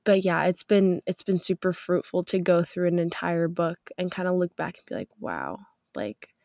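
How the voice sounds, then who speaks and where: A woman's voice: on the low side, lively intonation, normal speed, vocal fry, darkish tone, no room sound, studio quality. A young woman hosting a faith podcast, chatting upbeat and loose with many fillers, her voice stuffy from a cold. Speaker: podcast host. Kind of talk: casual faith podcast chat.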